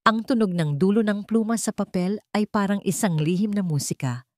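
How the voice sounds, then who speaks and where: A woman's voice: mid-range voice, moderate pace, darkish tone, no room sound. A woman with a Filipino accent, narrating a scripted love story in a dramatic storytelling style.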